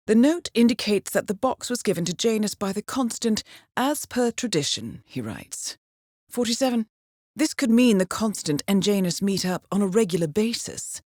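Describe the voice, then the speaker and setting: Cool, expressive woman handler. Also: medium pitch, breathy edge, no echo, clean studio sound. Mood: dryly amused, measured. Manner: cool, formal, precise, understated. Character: composed, dryly witty female intelligence handler briefing an assassin. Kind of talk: scripted video game mission briefing.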